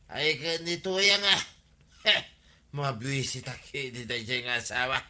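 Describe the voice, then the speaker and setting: A man's voice: medium pitch, animated, slow pace, sharp tone, heavily acted. A man playing a hot-tempered, grumbling village husband who scolds and blusters in a radio comedy drama. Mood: sullen grumbling.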